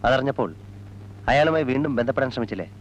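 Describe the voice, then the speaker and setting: Actor as very fast-talking male police investigator. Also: mid-range voice, lively intonation, dull audio, natural voice. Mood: probing. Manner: probing, pointed questions. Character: probing male police investigator questioning a witness. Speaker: film actor. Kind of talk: film drama interrogation.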